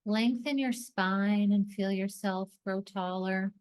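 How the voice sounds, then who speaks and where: A woman narrates a guided meditation at a slow pace. Her voice sits at an average pitch for a woman. She delivers scripted, soothing instructions in short, deliberate phrases, leaving space between them. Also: steady tone, darkish tone, clear, resonant tone.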